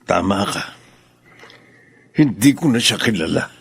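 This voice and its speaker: An actor playing a weary, disappointed middle-aged father in a Filipino radio drama, with a gravelly, husky voice. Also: fairly low pitch, expressive, a little slow, dry recording, exaggerated voice. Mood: dismayed, grave.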